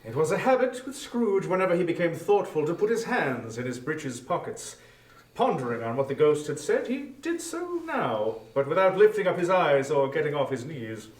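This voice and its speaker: A man narrating a scripted dramatic story in a measured, theatrical storytelling manner. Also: fairly high pitch, sing-song. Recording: echoey, distant from the microphone.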